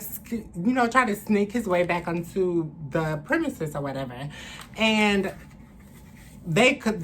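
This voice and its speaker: A young adult woman telling a story casually to camera in a candid, slangy, profane way, recorded indoors at arm's length. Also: lowish voice. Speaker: vlogger. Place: indoors, small room. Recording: clearly noisy room ambience.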